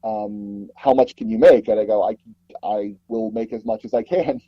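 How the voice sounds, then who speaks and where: A man's voice: on the low side, normal speed, slightly rough, no echo, highs cut off. A man speaking as an interview guest, an audio drama producer telling casual stories and acting out the dialogue he quotes. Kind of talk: casual anecdotal interview storytelling.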